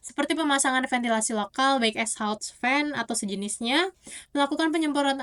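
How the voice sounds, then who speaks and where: A young woman gives an explanatory oral presentation as a student, often halting to correct herself. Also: a little high, fairly bright tone. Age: young adult. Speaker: university student.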